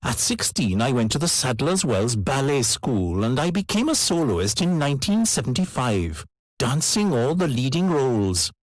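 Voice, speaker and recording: An actor playing an older, proud Welsh ballet dancer reflecting on his career in a measured monologue for a listening exam. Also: medium pitch, sing-song, no echo, low-quality recording. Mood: proud, reminiscing. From Wales.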